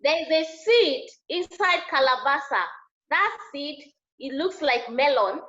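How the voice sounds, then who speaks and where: A woman with a Nigerian accent, a Yoruba speaker, talking casually to a class as a guest teacher, animated and repeating herself for emphasis. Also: high-pitched, measured pace. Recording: dull lo-fi video call audio.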